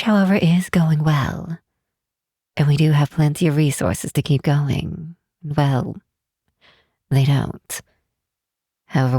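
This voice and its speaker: A woman voicing a regal, doting demon queen mother in an ASMR roleplay, with soft, measured, formal speech. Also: low-pitched, no echo, clean studio sound, full character voice. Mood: composed, confident. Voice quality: soft, breathy. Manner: measured, formal, tender, unhurried.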